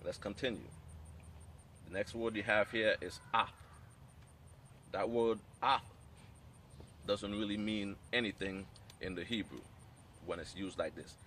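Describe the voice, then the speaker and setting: Low-voiced man teaching a Hebrew class. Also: steady tone, bright, forceful tone, slight background noise. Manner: measured, explanatory, reads scripture aloud. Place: outdoor training camp.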